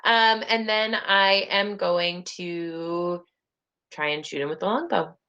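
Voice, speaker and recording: A woman's voice: on the low side, slow, pushed and bright, clear, resonant tone. A woman playing an online tabletop game, casual and upbeat with the odd swear word. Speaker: player. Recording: dull online call audio.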